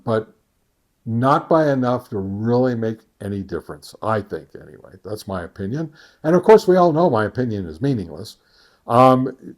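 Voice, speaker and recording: Slow-paced man talking through test results. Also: medium pitch, a little mellow. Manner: halting, thinking aloud; folksy, conversational asides. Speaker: amateur radio gear reviewer and host. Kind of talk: casual product test summary.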